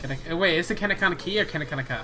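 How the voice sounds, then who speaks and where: A man's voice: on the high side, very fast. A young man hosting a casual, joking anime debate, rambling and restarting as he talks. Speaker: channel host.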